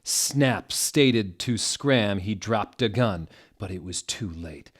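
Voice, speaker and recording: A man giving a theatrical, mock-serious dramatic reading of comic fan fiction in a deliberate narrator's manner. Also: on the low side, animated, husky.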